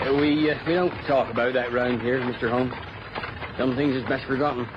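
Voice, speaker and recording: An actor as a rustic English trap driver, dull recording. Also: male voice, mid-range voice, fairly even intonation, a little fast, close to an everyday voice. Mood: guarded, warning. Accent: rural English. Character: rustic old male trap driver, plain-spoken and guarded. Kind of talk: British radio drama acting. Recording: dull old radio recording, clear noise.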